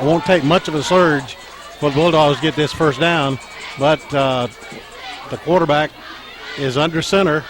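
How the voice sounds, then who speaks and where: A man with a scratchy, strained voice calling a high school football game play by play, halting with fillers and lifting when a run breaks loose. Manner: halting, frequent fillers, rises when plays break open. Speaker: play-by-play announcer. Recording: crowd and music under the voice. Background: crowd and band music.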